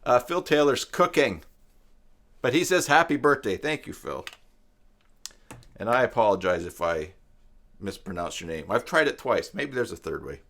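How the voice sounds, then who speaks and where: A man's voice: mid-range voice, expressive, moderate pace, long pauses, a bit bright. Man in his fifties, casual tutorial host. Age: 50s. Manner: conversational, rambling with asides. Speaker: host of a tutorial live stream. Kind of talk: casual live-stream tutorial talk.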